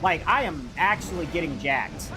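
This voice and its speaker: A young man with a high, youthful voice that moves up and down a lot as he talks. He is livestreaming a game, rambling informally and swearing freely. Music plays clearly behind him. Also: expressive, moderate pace. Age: young adult. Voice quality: youthful-sounding voice. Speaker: livestreamer playing a video game. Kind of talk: casual gaming commentary and chat.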